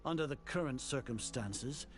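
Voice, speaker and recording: A man's voice: medium pitch, expressive, natural voice. Pompous, haughty older sage, acted by a man. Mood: cold, condescending. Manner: pompous, formal, deliberate diction. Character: haughty, fanatical older male grand sage, coldly scheming. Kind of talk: dramatic video game voice acting. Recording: game dialogue over music.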